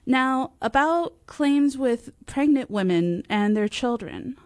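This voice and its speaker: Female, American, radio co-host. Slow, measured announcing in a higher register, dry close studio sound. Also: expressive, darkish tone, dry recording. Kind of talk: radio show presenting, announcing.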